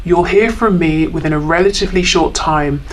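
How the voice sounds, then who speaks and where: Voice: mid-range voice, moderate pace. A tarot reader talking informally through a card reading, thinking aloud in a hesitant, reflective way and reading out messages.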